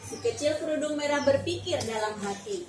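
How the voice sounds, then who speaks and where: A woman's voice: sits high, lively intonation, normal speed, far from the mic. A young woman teacher reading a story aloud in a classroom, then quizzing a child with coaxing questions and half-finished words for the child to complete. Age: young adult. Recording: echoey room, voice from off-mic, clear noise.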